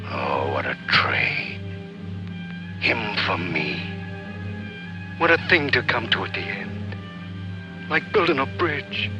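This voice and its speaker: An actor playing a bitter, doomed soldier who rambles to himself in self-mocking mutters, in an old film drama. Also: male voice, low-pitched, expressive, slow pace, pauses often, lo-fi sound, music in the background, heavily acted. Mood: anguished, bitter. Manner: muttering, self-mocking, swinging interior monologue.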